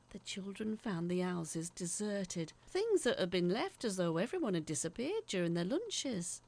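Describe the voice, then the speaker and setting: Actress as haunted female letter writer for audio drama: confiding storyteller, creaky edge, very lively intonation. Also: fairly low pitch, exaggerated voice. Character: woman letter writer recalling eerie childhood tales, earnest, haunted. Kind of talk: dramatic scripted narration, read as a letter.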